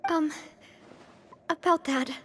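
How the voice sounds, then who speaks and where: Genteel young game heroine, high-pitched, composed, kindly leader, long reverb. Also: female voice, steady tone, takes it slowly, slight background noise. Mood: sombre. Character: poised, kindly young female leader of a gang. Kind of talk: video game story voice acting. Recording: long reverb, music behind. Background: game music.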